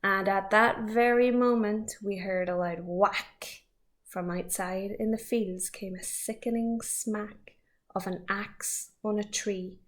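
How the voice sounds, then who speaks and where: A woman teacher reading a picture book aloud to children, lively and expressive. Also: medium pitch, takes it slowly. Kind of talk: storybook read-aloud.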